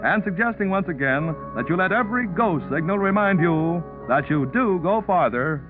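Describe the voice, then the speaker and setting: A man's voice: high-pitched, expressive, moderate pace. An American man announcing in scripted 1940s radio style, with a polished, emphatic salesman's delivery. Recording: tinny 1940s radio recording, faint music.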